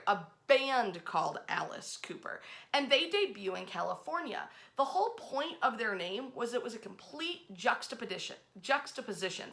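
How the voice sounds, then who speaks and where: A woman's voice: high-pitched, bright, forceful tone. A woman hosting an informal history storytelling session, speaking in a dramatic, conversational way with emphatic phrasing. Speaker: host, local history storyteller.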